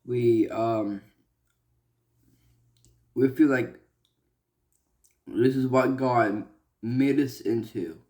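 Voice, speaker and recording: A young man from Malaysia talking earnestly in a personal vlog, halting and restarting with many fillers. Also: mid-range voice, fairly even intonation, warm tone. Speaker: vlogger.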